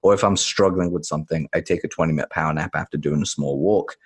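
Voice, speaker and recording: A man from Tanzania, talking as a podcast guest in a relaxed, rambling way that often corrects itself. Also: extremely low pitch, fast talker, slightly rough. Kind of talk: podcast interview conversation.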